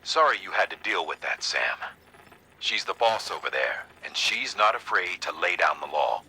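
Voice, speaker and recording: An actor playing an earnest, grave official in a video game, explaining things in a measured, conversational, persuasive way. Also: male voice, low voice, expressive, pushed and bright, slightly rough, full character voice, low-quality recording. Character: grave male second-in-command briefing an agent, earnest, folksy. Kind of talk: dramatic video game exposition.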